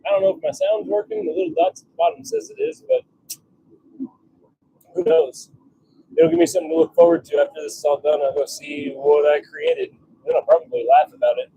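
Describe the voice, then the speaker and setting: Gravelly, higher-pitched man grumbling casually. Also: wildly swooping pitch, a little fast, warm tone, poor audio. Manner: self-deprecating, grumbling, offhand. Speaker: livestream host and hobby blacksmith. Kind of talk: casual live chat while working. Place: home forge workshop.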